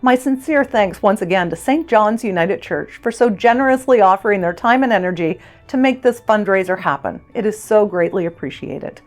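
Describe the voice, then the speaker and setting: A woman's voice: mid-range voice, lively intonation, normal speed, hardly any pauses, darkish tone. A woman hosting an event, giving a sincere closing address of thanks. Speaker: event host.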